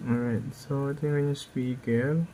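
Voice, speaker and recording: Filipino guy doing a repair tutorial, mellow relaxed voice, chatty and instructive, bit of noise behind him. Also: medium pitch, moderate pace, low-quality recording. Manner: informal, instructive, frequent tag questions. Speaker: phone repair technician presenting a tutorial. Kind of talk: casual hands-on tutorial narration.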